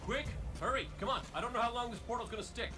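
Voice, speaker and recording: An actor playing a cocky, wisecracking robot gunslinger in a video game, flippant, swinging from mumbled asides to exclamations. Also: male voice, very high-pitched, quick pace, music in the background. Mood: frantic. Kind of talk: video game cutscene acting.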